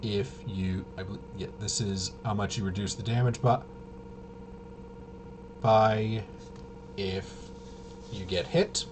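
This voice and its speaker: A man with a fairly low voice talks casually through game rules as a reviewer. He is halting and mumbles as he half-reads. The recording has clear noise behind him. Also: little pitch movement. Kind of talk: casual off-the-cuff rules walkthrough. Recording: clearly noisy home recording.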